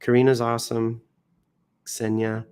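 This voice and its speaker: A man's voice: medium pitch, completely flat delivery, unhurried, a little mellow, clear, resonant tone. An American man hosting a livestream, reading news posts off the cuff in a theatrical, jokey way with exaggerated emphasis, sounding a bit under the weather. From United States. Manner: theatrical, swoops into exaggerated emphasis and self-mocking asides. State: sick and tiring, coughing now and then. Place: indoors.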